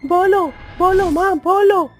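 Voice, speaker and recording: A woman voicing a petulant, complaining poor young boy in an animated story. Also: very high-pitched, takes it slowly, faint music behind. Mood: angry, whining. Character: angry, whiny poor young boy Bunty. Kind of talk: animated moral story dialogue.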